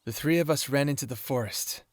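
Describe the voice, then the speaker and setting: A man's voice: medium pitch, brisk, no room sound, studio quality, natural voice. Man acting as an easygoing, understated werewolf. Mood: serious. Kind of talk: audio roleplay acting.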